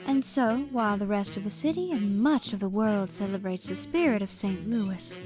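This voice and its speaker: Woman narrating a story over clear music. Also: medium pitch, expressive, moderate pace, poor audio. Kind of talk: scripted dramatic story narration.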